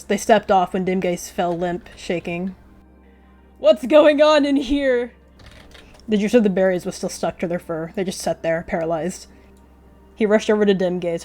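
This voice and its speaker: A woman's voice: on the low side, mellow. A woman narrating a roleplay story dramatically as she plays. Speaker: roleplay narrator, video creator.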